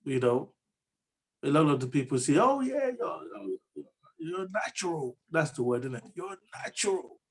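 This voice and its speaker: A man's voice: a little high, sing-song, moderate pace, breathy edge. A man co-hosting a motivational talk show, speaking earnestly and encouragingly with frequent "you know". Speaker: podcast co-host.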